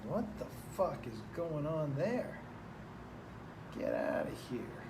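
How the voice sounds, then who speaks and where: A man's voice: on the high side, huge pitch swings, slow, creaky. Loose, profane man hosting a live stream. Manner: loose, profane, rambling and playful. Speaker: host of a live stream show. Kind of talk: casual live-stream chat, reading viewer comments. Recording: noticeable background noise.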